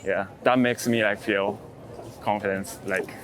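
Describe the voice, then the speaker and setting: A man chatting casually as an interviewed street photographer, in halting non-native English with dropped articles and plurals. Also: lowish voice, fairly even intonation. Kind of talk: casual chat. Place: outdoors in a busy city. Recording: busy city crowd noise behind.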